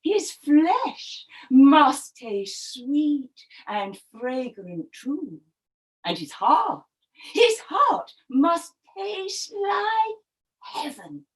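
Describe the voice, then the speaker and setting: A woman storyteller voicing a jealous, demanding female crocodile in a folk tale, with drawn-out, dramatic emphasis. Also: high voice, big pitch swings, exaggerated voice, poor audio. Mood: greedy, craving.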